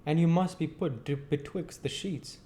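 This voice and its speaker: A man's voice: on the high side, moderate pace, warm tone, pitched above the performer's own voice, natural voice. A man voicing a kindly, uneducated country man from a novel, earnest and argumentative, with rustic, emphatic phrasing. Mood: earnest, gently insistent. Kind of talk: audiobook character voice.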